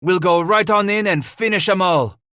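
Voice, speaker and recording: A man's voice: sits high, normal speed, pushed and bright, clean tone, no echo, clean studio sound, pitched above the performer's own voice. A man voicing a hot-tempered French frontier leader in an audiobook, bellowing threats. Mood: determined.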